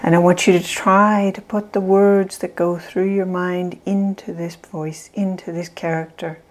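A woman leading a guided self-help visualization in a calm, measured way with long pauses between phrases. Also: on the low side. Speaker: meditation guide.

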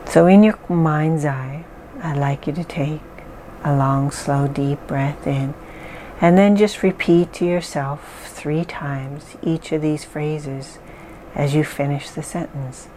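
A woman leading a guided mindfulness exercise, speaking calmly and softly with long pauses. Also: low-pitched, slight background noise, low-quality recording. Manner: slow, calm, soft-spoken guidance with pauses. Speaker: therapist guiding an exercise.

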